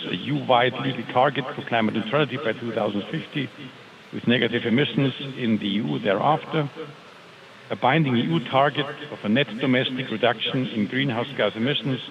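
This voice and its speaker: A man presenting to a conference audience in a deliberate, hesitant way, often asking for the next slide. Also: medium pitch, gravelly. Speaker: board chair presenting. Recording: muffled sound with crowd noise.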